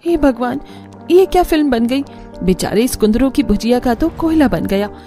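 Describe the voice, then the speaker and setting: A woman's voice: fairly high pitch, lively intonation, normal speed, mellow, soft background music, natural voice. A woman voicing an irritable, overworked young daughter-in-law in a cartoon family drama, grumbling sarcastically in exasperation. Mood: fretting. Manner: exasperated muttering complaints.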